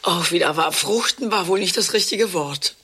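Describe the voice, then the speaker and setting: A woman's voice: lowish voice, normal speed, sharp tone, slightly rough, full character voice. An actress in a radio play, playing a chic, ironic woman married to a dentist, speaking in a wry, drawling way. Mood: dry. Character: chic ironic wife of a dentist, half-sister. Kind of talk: radio play monologue and dialogue.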